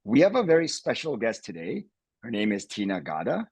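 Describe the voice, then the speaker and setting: A man hosting an interview podcast, speaking in a halting way with frequent restarts. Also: lowish voice, wildly swooping pitch, creaky, darkish tone, husky, no echo. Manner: halting, restarts words often. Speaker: podcast host, company CEO.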